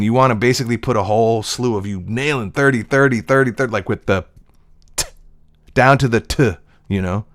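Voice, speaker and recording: A man's voice: on the low side, no room sound, studio quality. A male voice-over actor talking in a casual, digressive solo monologue from his desk. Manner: digressive, reflective storytelling.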